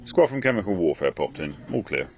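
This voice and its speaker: A sceptical British chief of staff in a spy radio play. The voice is deep and gravelly, with lively swings in pitch. He is dry and clipped, deferential yet ready to challenge. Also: a little fast. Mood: dry, matter-of-fact. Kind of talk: radio drama acting.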